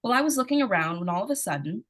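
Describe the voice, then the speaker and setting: A woman playing an excitable, earnest little girl who narrates an old-time radio drama in a folksy, childlike way. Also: mid-range voice, racing. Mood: eager, earnest. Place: remote live performance over video call.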